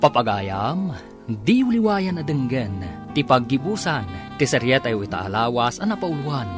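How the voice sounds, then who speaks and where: An announcer with a Filipino accent narrating a scripted radio drama serial. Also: mid-range voice. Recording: music playing behind the voice. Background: loud music bed.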